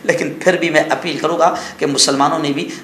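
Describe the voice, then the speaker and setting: High, bright-voiced male cleric, echoey. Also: steady tone, fairly quick, a little hiss or hum. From India. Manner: exhortative, scolding, conversational with rhetorical questions and repetition. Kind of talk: informal sermon-like news commentary.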